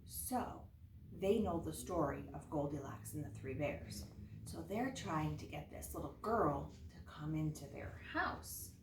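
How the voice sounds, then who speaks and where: A woman's voice: low voice, lively intonation, unhurried. A woman teaching young children, explaining things simply, asking the listener questions and reading aloud. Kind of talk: early-grade lesson and read-aloud to children. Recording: roomy, distant mic, faint noise.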